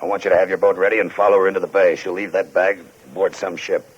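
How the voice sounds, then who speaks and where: A man's voice: deep voice, little pitch movement, quick pace, slightly rough. An actor playing a terse, secretive man hiring an investigator in a 1940s radio noir, clipped, businesslike and insistent. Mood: terse, cautioning. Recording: muffled 1940s radio recording.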